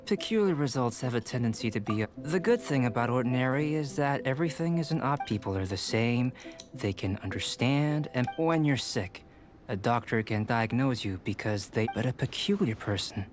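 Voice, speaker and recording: A man playing a calm, curious young alchemist in an anime game, speaking in a measured, articulate, scholarly way. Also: medium pitch, over music, natural voice. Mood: detached reflection.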